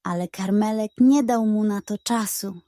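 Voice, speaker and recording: Woman, bedtime story narrator, unhurried, soft dark tone, expressive, dry close recording. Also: medium pitch, no room sound. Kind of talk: scripted bedtime fairy-tale narration.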